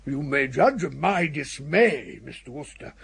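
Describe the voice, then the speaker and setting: An actor playing a courtly, earnest older upper-class English uncle, pompous and effusively formal, in a radio comedy. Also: male voice, a little high, lively intonation, unhurried, creaky, a bit bright, slightly rough, heavily acted. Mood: solemn, fretful. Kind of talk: radio comedy drama acting.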